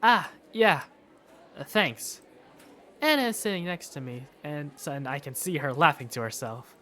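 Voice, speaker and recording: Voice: medium pitch, expressive, measured pace, pushed and bright, slight background noise. A performer voicing an awkward, easily flustered male student who narrates his school day in a hesitant, wry first-person style. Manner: hesitant, wry inner monologue. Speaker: narrator and main character of a visual novel. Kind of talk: first-person visual novel narration and dialogue.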